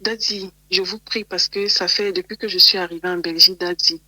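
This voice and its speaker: Bright-voiced woman giving testimony. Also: on the low side, a little fast, no echo, poor audio. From Cameroon. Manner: earnest, steady testimony. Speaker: church member giving a testimony.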